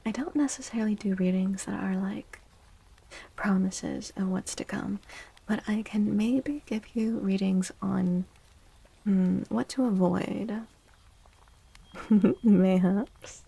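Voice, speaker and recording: A woman livestream host speaking softly in an ASMR style, hushed and gentle, often slipping into a near-whisper close to the microphone. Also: mid-range voice, steady tone, takes it slowly, long pauses. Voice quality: soft, breathy. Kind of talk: soft-spoken ASMR livestream chat.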